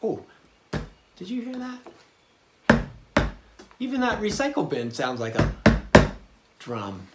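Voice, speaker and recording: A man teaches beginner rhythms in an unhurried, halting way, with a lively swing in his pitch. He sounds casual and exploratory, as a drummer demonstrating for kids. The room is echoey, with loud clattering from household objects. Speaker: drummer giving a beginner drum lesson. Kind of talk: casual hands-on demonstration for children. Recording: roomy echo, loud clattering percussion noise. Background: banging on household objects.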